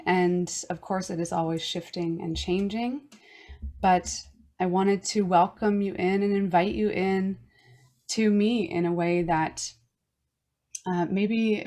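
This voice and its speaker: A woman from Newfoundland hosting a wellness podcast, reading a reflective personal statement in a slow, earnest way. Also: on the low side, darkish tone. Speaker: podcast host. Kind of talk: reading a personal land acknowledgment and intro.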